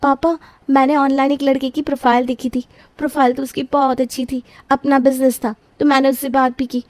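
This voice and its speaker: A woman's voice: high-pitched, level tone, brisk, darkish tone, no echo, close to an everyday voice. A woman playing a scheming, self-satisfied young daughter in a story. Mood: eager, confident.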